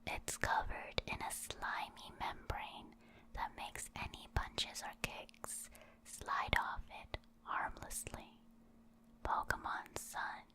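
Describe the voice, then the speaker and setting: A woman reading short encyclopedia entries as an ASMR creator, in a soft, soothing and unhurried manner. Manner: soft, unhurried, soothing delivery with repeated words.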